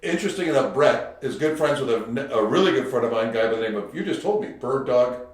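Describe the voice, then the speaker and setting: A brash man who hosts the show talks quickly and swears freely as he tells animated stories. His voice sits at a middling pitch, and the room around him rings with a heavy echo. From Canada. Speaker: podcast host. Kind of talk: casual interview chat. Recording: very echoey room.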